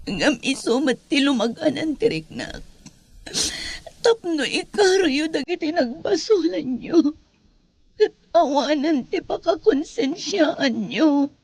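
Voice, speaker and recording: A very high-voiced daughter acted by a woman in a melodramatic audio drama. She is anguished and reproachful, pleading emotionally with her father. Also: expressive, slow, a little mellow, full character voice. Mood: tearful, reproachful.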